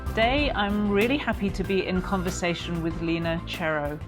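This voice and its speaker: A woman's voice: on the low side, normal speed, over music. Curious woman podcast host. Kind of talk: conversational podcast interview.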